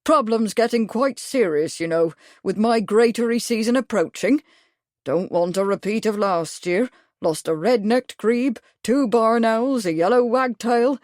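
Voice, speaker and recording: A woman's voice: a little high, measured pace, darkish tone, no echo, studio quality, pitched above the performer's own voice, close to an everyday voice. A woman voicing a fussy, pompous older male retired major who talks at length. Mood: mournful. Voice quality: pompous, fussy, long-winded.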